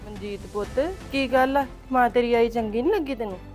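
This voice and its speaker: A woman acts out a middle-aged mother pleading to see her married daughter. Her fairly high voice protests earnestly, and faint music plays behind her. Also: brisk, a little mellow, natural voice. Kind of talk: homemade family drama skit.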